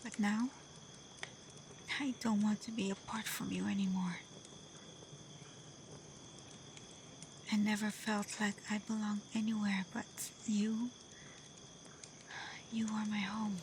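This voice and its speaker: Woman acting as a gentle kitsune fox-woman, devoted, unhurried, breathy and hesitant, often whispering, intimate ASMR roleplay, night insects faintly behind. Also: mid-range voice, little pitch movement, noisy background, natural voice. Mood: tender, torn.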